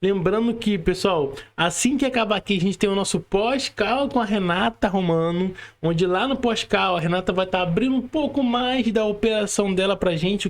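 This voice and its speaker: A Brazilian man hosting a podcast interview in a casual, informal chat style, often adding small tag questions. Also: sits high. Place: remote video call.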